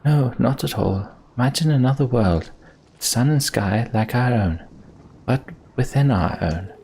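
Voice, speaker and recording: A man voicing an earnest, devoted sorceress in a fantasy video game, measured and sincere. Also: medium pitch, expressive, mellow, slightly rough, rough recording. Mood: solemn. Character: earnest, devoted female mender sorceress, solemn.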